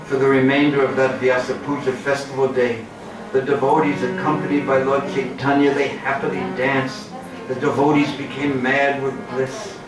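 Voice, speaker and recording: A man giving a dramatic devotional scripture reading, reverent and deliberate with long pauses. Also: medium pitch, level tone. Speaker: devotional reader and chant leader. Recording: echoey, distant, music behind.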